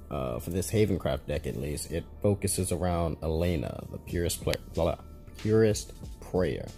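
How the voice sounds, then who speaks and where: A man's voice: sits low, vocal fry, dark tone. A man casually talking through a card game for his channel, chatty and rambling, laughing at his own slips. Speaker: gaming YouTuber. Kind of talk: casual gameplay commentary.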